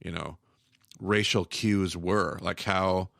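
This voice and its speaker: Low, gravelly man stumbling over words. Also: fairly even intonation, slow, a bit bright, no echo, studio quality. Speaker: podcast co-host. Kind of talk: casual chat.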